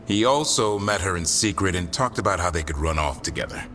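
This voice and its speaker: A man's voice: low voice, animated, brisk, bright, forceful tone, faint music behind. A man playing a blunt, practical warrior companion in a fantasy game, speaking firmly. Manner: blunt, firm. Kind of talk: naturalistic game dialogue.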